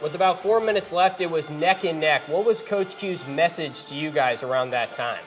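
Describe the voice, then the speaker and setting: A man's voice: on the high side, normal speed, faint music behind. A man hosting a sports broadcast and commentating on games as a play-by-play announcer.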